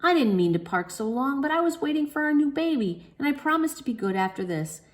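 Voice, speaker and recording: A woman's voice: sits high, sing-song, brisk, voice pushed up. A woman voicing a hapless, earnest, pleading father in a children's storybook. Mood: begging. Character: hapless, pleading football-loving dad.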